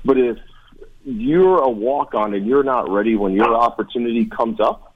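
A husky-edged American man speaks as a guest on a sports talk show, earnest and emphatic as he reminisces. His voice sits at a medium pitch, and the recording is a little dull and muffled. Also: normal speed. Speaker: guest, former college football player.

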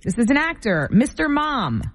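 A woman's voice: a little high, big pitch swings. A woman co-hosting a morning radio show, chatting, teasing and reading traffic reports. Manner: chatty, quick to tease.

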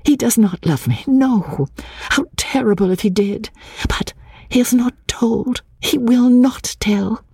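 Woman acting a higher-pitched, eager young Edwardian lady. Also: sing-song, a little slow, vocal fry, warm tone, slightly rough, no echo, studio quality, distant mic, exaggerated voice. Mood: secretly elated.

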